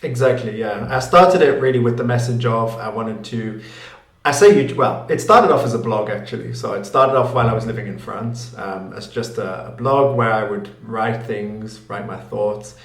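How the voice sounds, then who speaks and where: A man's voice: on the low side, normal speed. A man, a guest answering interview questions with plenty of fillers and restarts. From Newcastle, England. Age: late 20s. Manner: thoughtful, many fillers and restarts, laughs easily. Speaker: guest, finance YouTuber. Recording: roomy echo.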